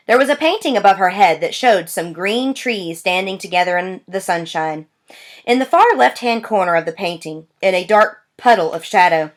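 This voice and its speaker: A woman reading a children's novel aloud, with expressive, animated storytelling. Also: mid-range voice. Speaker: reader.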